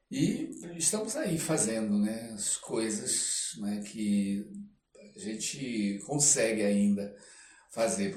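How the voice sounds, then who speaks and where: Slow-talking older Brazilian man, low voice. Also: a little mellow. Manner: halting, reflective, frequent tag questions. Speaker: interview guest, actor and storyteller. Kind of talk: casual interview talk with personal anecdotes. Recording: roomy echo, clear background noise.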